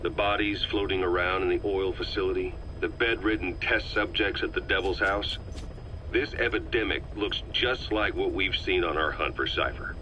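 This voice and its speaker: Deep, measured veteran intelligence officer. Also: male voice, fairly even intonation, pushed and bright. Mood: grave, analytical. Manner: measured, deliberate, analytical. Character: shrewd, level-headed veteran male intelligence officer. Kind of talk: dramatic video game voice acting. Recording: muffled, slightly noisy cassette tape.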